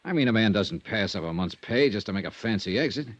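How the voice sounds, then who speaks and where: A man's voice: on the low side, animated, fast, no echo, lo-fi sound, natural voice. Man playing a wry hard-boiled American radio detective. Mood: pressing. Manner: clipped, probing, dry. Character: hard-boiled, persistent male insurance investigator, wry and self-assured. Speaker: lead actor and narrator. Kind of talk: 1950s radio detective drama acting. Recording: noisy vintage radio recording.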